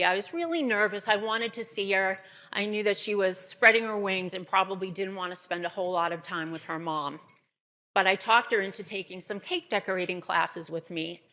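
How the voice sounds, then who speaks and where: A woman's voice: medium pitch, pushed and bright. A middle-aged woman with a Boston accent, telling her story as a keynote speaker to an audience.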